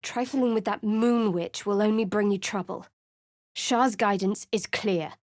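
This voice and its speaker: A woman's voice: mid-range voice, unhurried, no room sound, natural voice. A woman voicing a guarded, sardonic young cleric adventurer in a video game, speaking with dry, cutting wit and cool reserve. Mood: wry.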